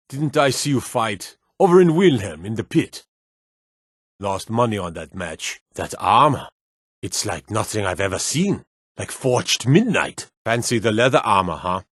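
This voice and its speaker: An actor playing a blunt, suspicious city guard in a fantasy video game, with folksy, old-fashioned turns of phrase. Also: male voice, lowish voice, breathy edge, dry recording, studio quality, heavily acted. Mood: admiring. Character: gruff, wary male city guard in a fantasy realm. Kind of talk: video game character barks.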